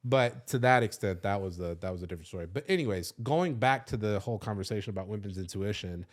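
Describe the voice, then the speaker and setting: Thirties guy, kinda low voice, rambling honest story full of likes and cussing. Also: quick pace. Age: 30s. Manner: loose and confessional, swears freely, many "like" fillers. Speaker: podcast host. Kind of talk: candid personal storytelling.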